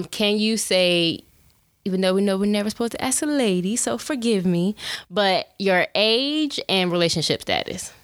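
A woman in her twenties hosting a show, talking in a chatty, animated way and calling her listeners y'all. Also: lowish voice, no room sound. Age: 20s. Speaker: podcast host. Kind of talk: casual chat.

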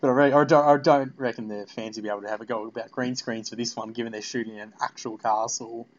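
A man's voice: medium pitch, fairly even intonation, fast talker, dull audio. A man hosting a casual fan interview, rambling and repeating his phrases as he goes. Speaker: podcast interviewer.

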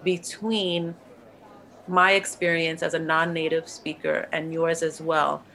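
Curious woman interviewer, lowish voice. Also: flat delivery, takes it slowly, vocal fry, bright, forceful tone, poor audio. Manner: engaged, curious questioning. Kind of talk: conversational interview.

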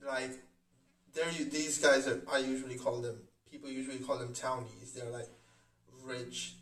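A man's voice: mid-range voice, moderate pace. Man from India, casual chatty talk, echoey room, distant mic. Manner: chatty, animated, says "mate" and "like". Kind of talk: casual chat. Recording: roomy echo, away from mic.

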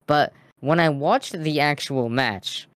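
A young man co-hosting a casual podcast, excitable and slangy, reading the stream chat aloud. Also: a little high, slow, clear, resonant tone, no room sound.

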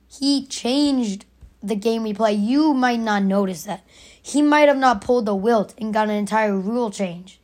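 A raspy young woman hosting her own podcast, arguing her opinion emphatically and repeating her points. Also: a little high, unhurried, darkish tone. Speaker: podcast host. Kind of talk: opinionated solo podcast rant.

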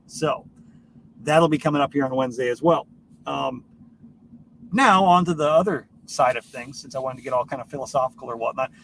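A man hosting a solo podcast in a casual, rambling style, speaking informally and swearing freely. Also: on the high side. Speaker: podcast host. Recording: noisy, distant microphone.

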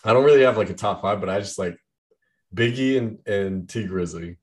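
Young man, very deep voice, casual chat, rambling, lots of "like". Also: fairly quick, darkish tone. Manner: rambling, heavy use of "like". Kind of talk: casual chat about music.

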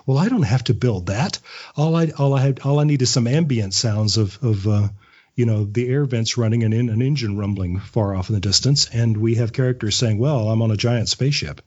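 A man in his fifties chatting casually as a podcast guest, telling stories in a halting, anecdotal way on dull, lo-fi audio. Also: mid-range voice, a little mellow, husky. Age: 50s. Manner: chatty storyteller, halting with repeated words, chuckles often. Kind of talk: casual interview chat.

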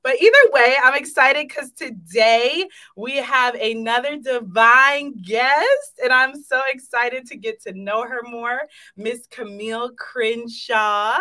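A woman's voice: fairly high pitch, fairly even intonation, slow, few pauses, sharp tone. A woman in her thirties hosting a podcast, talking in an upbeat, chatty and emphatic way. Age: 30s. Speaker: podcast host. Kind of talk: casual podcast chat. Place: indoor recording space.

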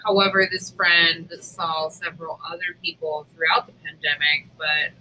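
Very bright-voiced woman venting casually, noisy recording. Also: low-pitched, fairly even intonation, moderate pace. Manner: candid, profane, informal. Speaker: podcast co-host.

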